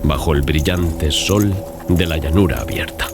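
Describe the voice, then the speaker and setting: Male narrator for gothic audiobook: deep voice, measured literary delivery, music underneath. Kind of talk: dramatized gothic story narration, scripted. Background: music bed.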